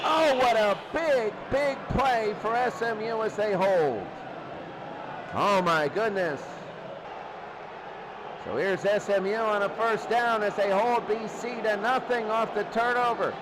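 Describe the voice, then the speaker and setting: A man's voice: high-pitched, slow. A man doing play-by-play American football commentary in short, exclamatory present-tense bursts. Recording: noisy recording with loud crowd din. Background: loud crowd noise.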